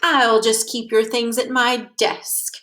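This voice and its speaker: A woman's voice: on the high side, flat delivery, takes it slowly, a bit bright, slight background noise. A woman voicing a kindly, easygoing male mouse schoolteacher in a children's storybook. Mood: calm, firm.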